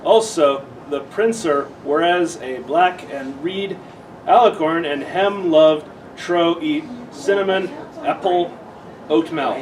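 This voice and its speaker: A man halting his way through misspelled comic text at a live panel, off mic with some room noise. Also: on the high side, far from the mic, a crowd in the background, poor audio. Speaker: panel reader.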